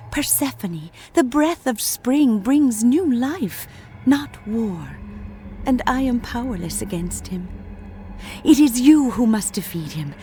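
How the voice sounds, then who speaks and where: An actress playing a gentle, sorrowful goddess of spring in a video game, speaking in a stately, formal manner. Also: female voice, a little high, slight background noise. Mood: urgent. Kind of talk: scripted game cutscene acting. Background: music.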